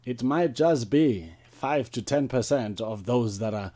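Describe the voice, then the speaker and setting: A man's voice: mid-range voice, animated, a little slow, mellow. A man with a South African accent interviewing as a radio sports host, in wordy, formal phrasing.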